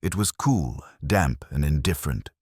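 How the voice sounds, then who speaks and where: Male actor as brooding middle-aged detective narrator: extremely low voice, expressive swings in pitch, literary and measured. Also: breathy edge, no echo, studio quality, full character voice. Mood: brooding, reflective. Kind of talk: scripted first-person mystery narration.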